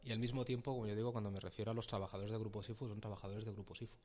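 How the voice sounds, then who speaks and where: Hesitant low-voiced Spanish manager. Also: male voice, little pitch movement, racing, darkish tone. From Castilla-La Mancha, Spain. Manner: hesitant, repeats words often, laughs easily. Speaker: company regional manager, interview guest. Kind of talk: casual radio interview.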